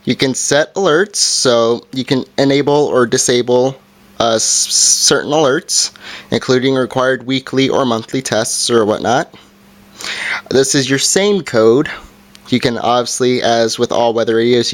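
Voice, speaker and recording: A man giving a casual hands-on product review, talking in a halting way and correcting himself as he goes. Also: mid-range voice.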